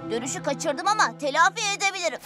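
A woman voicing a cheeky, boastful young male steam engine in an emphatic, excitable cartoon dub style. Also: extremely high pitch, quick pace. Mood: stubborn, boastful. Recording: music under the dialogue.

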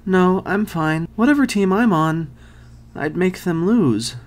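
A woman voicing a timid, gentle, small teenage schoolboy in an anime fan dub, speaking in a meek, earnest way. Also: on the low side, lively intonation, takes it slowly. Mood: meek, wistful. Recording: background music under dialogue.

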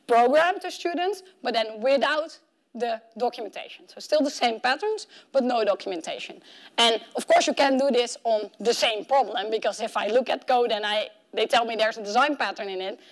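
Woman in her 30s doing a conference talk, pretty high voice, chatty and animated, explaining research. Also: normal speed. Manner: animated, conversational, acts out imagined objections. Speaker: conference keynote speaker. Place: conference room in front of a projection screen. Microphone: clip-on mic at the collar.

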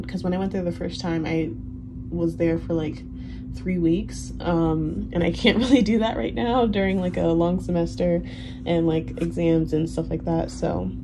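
A young woman in her twenties whose first language is Haitian Creole, chatting casually in a relaxed, rambling way full of ums and likes. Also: fairly low pitch. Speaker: planner vlogger. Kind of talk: casual Q&A chat over a plan-with-me. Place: indoors at a desk. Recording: audible background noise.

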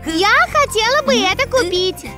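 A woman's voice: very high-pitched, lively intonation, moderate pace. A woman voicing a cheerful, curious little girl playmate in a children's cartoon. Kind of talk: children's animation dub. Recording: slightly distant, children's music behind.

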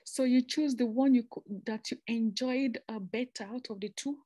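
A young woman from Nigeria talks through her slides like she's chatting with a friend, restarting sentences and tossing in asides. Her voice sits a little high, and the recording is close and dry, with no room sound. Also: moderate pace, mellow, dry recording. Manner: chatty, repeats and restarts phrases, conversational asides. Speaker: presenter explaining a master's program. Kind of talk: informal slide presentation.

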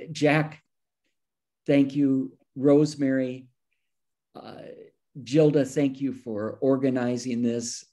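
A man's voice: mid-range voice, dark tone. An older man moderating an online gathering informally from a home office on a video call. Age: older, 60s to 70s. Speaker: moderator, nonprofit founding director.

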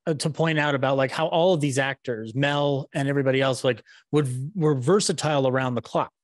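A man, chatting casually in a halting, enthusiastic way into a close podcast mic. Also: mid-range voice, no room sound. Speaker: podcaster, panel host. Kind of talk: casual chat. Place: small room at home, on a video call. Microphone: close podcast microphone on boom arm.

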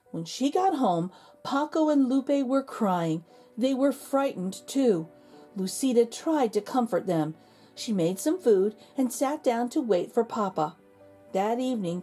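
A woman narrating a children's storybook in an expressive, deliberate storytelling manner. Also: fairly high pitch, husky. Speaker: children's read-aloud host.

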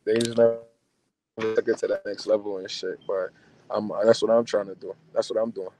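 A man's voice: fairly low pitch, brisk, rough recording. Young jersey guy chatting loose and slangy on a video call from his car, trails off with lots of "um" and "like". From New Jersey. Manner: relaxed slangy talk, trailing off into fillers. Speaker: guest. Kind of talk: casual chat. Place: car interior. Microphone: phone camera at arm's length on video call.

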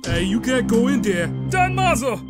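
An anxious, pleading underling played by a woman with a very high voice, in a comic-book drama over loud music. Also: big pitch swings, normal speed.

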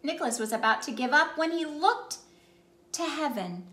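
A woman in her thirties reading a storybook aloud to children in an animated, expressive way with emphatic phrasing. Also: high-pitched, moderate pace. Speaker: children's ministry read-aloud host. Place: small indoor room by a Christmas tree. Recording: roomy indoor echo.

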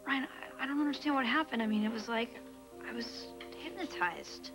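A woman's voice: fairly high pitch, brisk, music in the background, natural voice. A young woman acting in a daytime soap, flustered and apologetic, on a studio set. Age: young adult. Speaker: young woman after heart transplant.

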